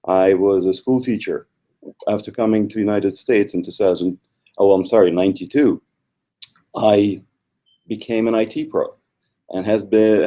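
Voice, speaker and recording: Ukrainian-accented man, low mellow voice. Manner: measured, with small slips and restarts. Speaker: guest, institute co-founder. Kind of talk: video interview.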